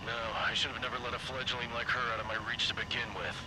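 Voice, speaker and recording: An actor voicing a commanding veteran fighter squadron leader in a video game, giving blunt orders. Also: male voice, mid-range voice, level tone, fast, background noise, lightly acted. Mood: somber, regretful. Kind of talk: video game military radio acting. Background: loud music, aircraft and gunfire.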